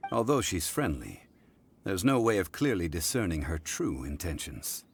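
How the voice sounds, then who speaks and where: Very deep, composed scholarly gentleman. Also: slight background noise. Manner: measured, formal, old-fashioned diction. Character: composed, learned, refined gentleman, calm and formal. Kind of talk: video game voice acting. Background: soft game music.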